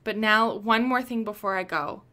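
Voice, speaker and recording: A woman's voice: medium pitch, moderate pace, bright, forceful tone, natural voice. A woman playing a commanding, protective woman in charge in a radio drama, firm and authoritative. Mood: firm, reassuring. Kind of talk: radio play acting.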